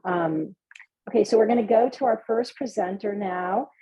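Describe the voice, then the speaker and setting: A woman hosting a live video call event, pausing often with ums as she introduces music and speakers. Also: mid-range voice, warm tone, slightly rough. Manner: hesitant, many ums. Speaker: event host. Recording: muffled video call audio.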